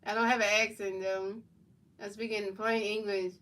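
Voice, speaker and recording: A woman's voice: mid-range voice, recorded from a distance, poor audio. A woman streamer doing play-by-play commentary of a football video game and answering her chat in casual slang. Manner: announces plays in a measured, even delivery.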